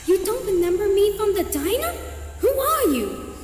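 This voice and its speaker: A woman's voice: very high voice, very animated, moderate pace, heavily acted. A jumpy, foul-mouthed young woman. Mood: startled. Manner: startled, swearing. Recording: echoey room with reverb and music.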